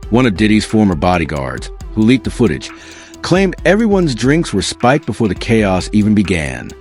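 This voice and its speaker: A man's voice: fairly low pitch, wildly swooping pitch, normal speed, darkish tone. A man reading scripted gossip narration with dramatic, punchy storytelling. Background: background music.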